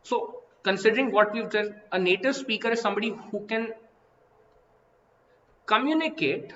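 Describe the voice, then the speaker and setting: A young man with an Indian English accent teaches an IELTS lesson live, animated, asking "right?" and "okay?" again and again. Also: high-pitched, normal speed. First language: Hindi. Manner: animated, repeats and rephrases, asks "right?" and "okay?" often. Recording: echoey room, slightly dull sound, faint hiss.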